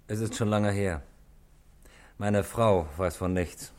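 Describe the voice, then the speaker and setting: Evasive married clerk: fairly low pitch, guarded, careful with words, 1960s radio play. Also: male voice, fairly even intonation, slow. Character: evasive, uneasy married man, petty office clerk.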